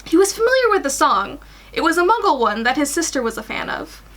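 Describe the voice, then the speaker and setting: A woman's voice: high-pitched, sing-song, fast talker, a bit bright. A woman in her twenties reading a fan story aloud and chatting informally in a small room at home. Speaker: guest reader on a fan fiction livestream.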